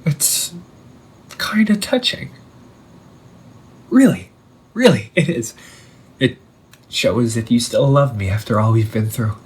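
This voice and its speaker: A man playing an undead older brother returned from the sea, talking in a hushed, halting, teasing way in an audio roleplay. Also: medium pitch, lively intonation, slightly rough, exaggerated voice. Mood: wistful, touched. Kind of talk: audio roleplay monologue to the listener.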